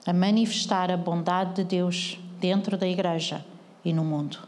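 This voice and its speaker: A woman's voice: on the low side, steady tone, moderate pace, darkish tone, in a large hall. A woman pastor with a European Portuguese accent preaching a sermon, earnestly questioning the congregation.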